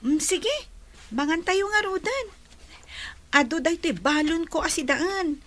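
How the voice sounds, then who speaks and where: High-pitched friendly Filipino child. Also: female voice, animated, measured pace, fairly bright tone, full character voice. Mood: generous. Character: hungry, friendly young schoolchild sharing packed lunch. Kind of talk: Filipino radio drama acting.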